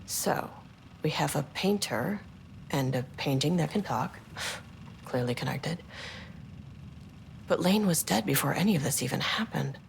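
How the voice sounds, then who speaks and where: A woman playing a dry, level-headed investigator who speaks in a measured, matter-of-fact way. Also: low-pitched, expressive, creaky, a little hiss or hum, exaggerated voice. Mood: wry.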